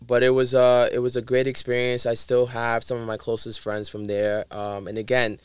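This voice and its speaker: A man with a New York Harlem accent, a guest in casual chat, relaxed and reflective with many fillers. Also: medium pitch, normal speed, smooth, no echo.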